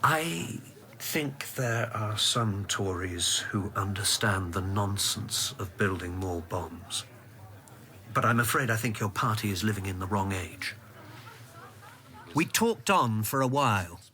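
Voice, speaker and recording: An actor playing a reserved, careful English civil servant who also narrates, in a radio drama. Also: male voice, on the low side, lively intonation, slow, sharp tone, full character voice. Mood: reflective. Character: guarded, principled British civil servant and narrator.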